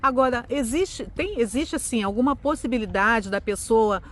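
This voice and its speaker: A woman's voice: fairly high pitch, expressive, normal speed, fairly bright tone, slight background noise. A Brazilian woman with a Brazilian accent, hosting a vlog and chatting with her guest while talking straight to her viewers. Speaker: vlog host. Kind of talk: casual interview chat.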